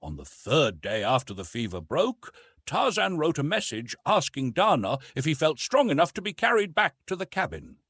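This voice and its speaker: Male audiobook narrator, middle pitch with very lively movement, husky tone, dry close recording. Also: normal speed, no echo. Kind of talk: scripted narration of an adventure novel.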